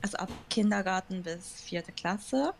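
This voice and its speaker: A young woman whose first language is Japanese answers questions in a relaxed interview. She talks hesitantly, often pausing and adding fillers as she searches for words. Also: medium pitch, vocal fry, slight background noise. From Germany. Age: 20s. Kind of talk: casual interview.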